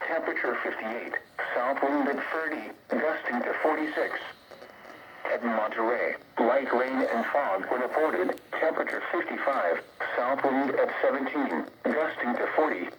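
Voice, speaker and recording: Synthetic male weather radio voice. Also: mid-range voice. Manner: steady list-like reading of figures. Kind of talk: automated weather observation readout. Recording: noisy radio reception.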